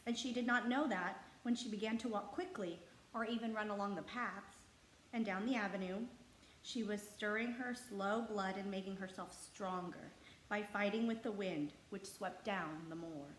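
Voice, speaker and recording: Female storybook narrator, mid pitch, expressive read-aloud, roomy echo. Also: moderate pace. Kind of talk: scripted storybook narration for children. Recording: echoey room with faint background noise.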